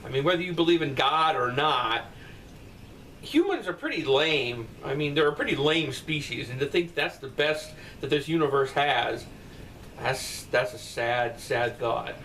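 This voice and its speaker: Middle-aged father, blunt rambling talker, slightly raised pitch; distant-mic bedroom recording with faint noise. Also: expressive, measured pace, fairly bright tone. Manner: rambling, blunt, profane asides. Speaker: father answering viewer comments. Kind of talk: casual comment-response chat. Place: bedroom at night, indoors.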